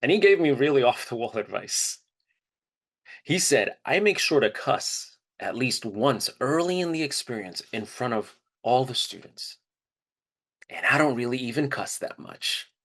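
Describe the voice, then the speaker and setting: A man's voice: mid-range voice, big pitch swings, unhurried, fairly bright tone, exaggerated voice. A man playing a candid, easygoing educator in a conversational storytelling monologue. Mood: amused, candid. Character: candid, easygoing educator recounting a colleague's advice.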